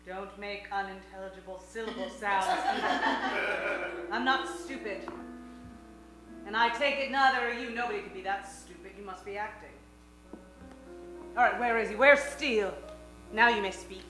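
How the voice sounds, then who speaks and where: A woman's voice: medium pitch, moderate pace, pauses often, echoey room, music in the background, close to an everyday voice, poor audio. A woman playing a tough, sarcastic femme fatale with a clipped, commanding delivery in a comic radio play. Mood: menacing. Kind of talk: comic 1940s detective radio-play acting.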